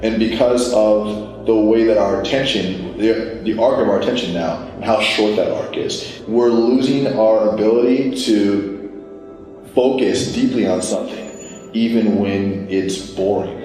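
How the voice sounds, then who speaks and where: A man's voice: fairly low pitch, measured pace, a little mellow. A young man talking casually in a rambling way, with lots of fillers. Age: young adult. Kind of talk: casual conversational talk. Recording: very echoey room with music.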